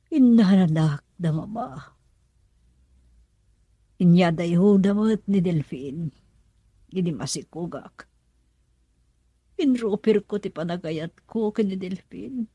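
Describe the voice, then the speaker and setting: A sorrowful young mother in a radio drama. Her voice is mellow and noticeably husky, at an ordinary pitch, recorded close and dry. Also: no echo, heavily acted.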